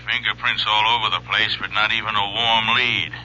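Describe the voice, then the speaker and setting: An actor playing a world-weary police lieutenant in a radio drama, terse and colloquial. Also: male voice, lowish voice, fairly even intonation, moderate pace, pushed and bright, faint music behind. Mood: matter-of-fact. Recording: dull, faintly noisy old radio broadcast.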